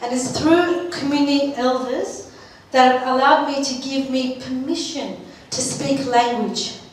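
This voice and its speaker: High-voiced woman speaking in an echoey hall. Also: a little slow. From Turkey. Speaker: conference speaker. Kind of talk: informal personal presentation. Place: large echoey room at a live event. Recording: echoey hall, distant mic, faint noise.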